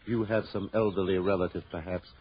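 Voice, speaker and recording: An actor playing a courteous, smooth-talking middle-aged owner of a rest home in a 1960s radio drama. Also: male voice, low voice, fairly even intonation, a little fast, close to an everyday voice. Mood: genteel, ingratiating. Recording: dull, noisy old radio recording.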